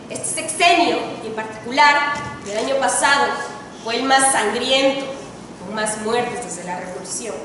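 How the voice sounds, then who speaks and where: A young woman student with a Mexican accent giving a formal, solemn oratory speech with emphatic delivery and dramatic pauses. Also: high voice, a bit bright. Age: teens to young adult. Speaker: student contestant in an oratory contest. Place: large reverberant indoor hall. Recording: echoey hall, distant mic, faint noise.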